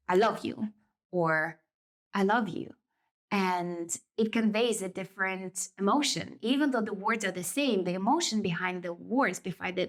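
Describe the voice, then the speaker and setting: A woman with a Russian accent talks through ideas on her own podcast. She is a native Russian speaker and speaks in a measured, reflective way, pausing to correct herself now and then. Her voice sits at an ordinary middle pitch. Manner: thoughtful, measured, self-correcting. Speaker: podcast host. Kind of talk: reflective solo podcast monologue.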